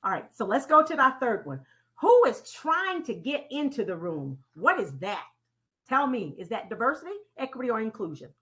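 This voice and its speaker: A woman leading an interactive training talk in a deliberate, teacherly way, putting questions to her audience and repeating key phrases. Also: a little high, very animated, bright, forceful tone. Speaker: presenter leading a training session. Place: online conference call with slides.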